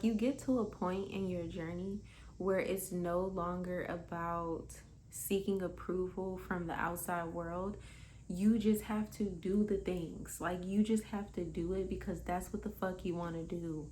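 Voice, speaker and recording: A woman's voice: on the low side, darkish tone, slight background noise, low-quality recording. A woman in her twenties giving a casual motivational monologue, candid and emphatic, swearing freely and calling the listener honey. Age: 20s. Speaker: spiritual vlogger.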